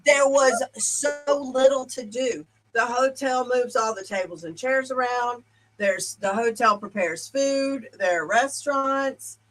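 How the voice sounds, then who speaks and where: A woman's voice: a little high, unhurried, poor audio. A woman guest on a podcast, telling stories in casual interview chat over a video call. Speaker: podcast guest, church leader. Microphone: remote video call.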